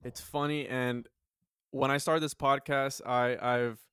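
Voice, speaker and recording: Man, 20s, podcast host, smooth voice, dry close sound, philosophical, halting restarts. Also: medium pitch, little pitch movement, a bit bright, dry recording. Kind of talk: casual podcast chat.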